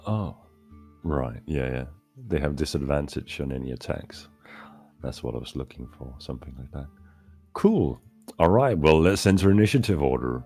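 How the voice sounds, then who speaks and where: Very deep-voiced joking game master. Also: male voice, lively intonation, moderate pace, warm tone, husky, soft background music. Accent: Danish. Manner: joking, self-deprecating, playful narration. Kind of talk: running a tabletop game online.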